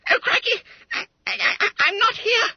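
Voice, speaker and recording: A man voicing a fat, terrified schoolboy who stammers and wails in a high squeal. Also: huge pitch swings, normal speed, gravelly, no room sound, heavily acted. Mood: panicky stammer. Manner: stammering, squealing, near tears. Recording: dull lo-fi dry recording.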